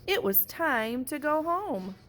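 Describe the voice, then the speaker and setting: A woman, a teacher, reading a picture book aloud and pausing to point out what the pictures show. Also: sits high, sing-song, a little hiss or hum. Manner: gentle, slow storybook delivery with pauses.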